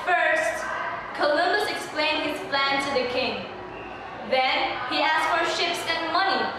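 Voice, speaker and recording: A woman's voice: sits high, measured pace, background noise. A young adult woman, a student narrator reading scripted storybook narration. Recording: echoey hall from far off, music behind.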